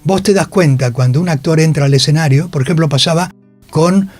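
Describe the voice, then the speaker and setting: Older man, Rioplatense accent, higher-pitched voice, animated storytelling, radio interview, music behind. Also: fairly quick, no room sound. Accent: Rioplatense Spanish. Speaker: interviewed actor. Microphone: radio studio microphone.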